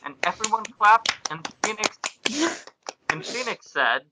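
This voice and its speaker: A man's voice: high-pitched, expressive, unhurried, noisy background. A man hosting and narrating a comic amateur reading of fan fiction, in an amused, mock-serious way. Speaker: podcast host and narrator.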